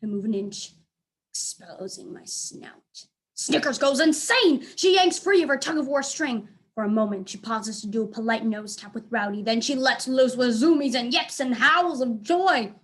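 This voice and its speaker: A woman reading a children's book aloud as a teacher, in an expressive storytelling style. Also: fairly high pitch, normal speed.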